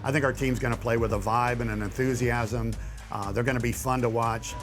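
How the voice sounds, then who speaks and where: A man speaks with confident enthusiasm about his team in short interview soundbites. His pitch is mid-range, and clear music runs under his words. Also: steady tone, a little fast.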